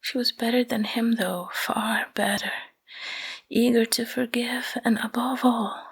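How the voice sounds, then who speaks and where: A woman whispering a bedtime story in ASMR style, hushed and breathy, in short phrases with pauses, close to the microphone. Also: a little high, steady tone, poor audio.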